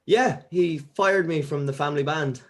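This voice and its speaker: A man playing an earnest, hesitant young musician in a script table read, speaking in a halting everyday voice. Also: a little high, close to an everyday voice. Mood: casual, resigned.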